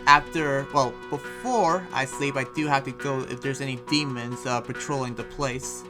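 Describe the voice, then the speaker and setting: Man acting as troubled demon slayer, earnest, hesitant and rambling, mid pitch, game roleplay, prominent music behind. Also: fairly even intonation, moderate pace, close to an everyday voice. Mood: hesitant, confiding. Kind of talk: improvised video game roleplay.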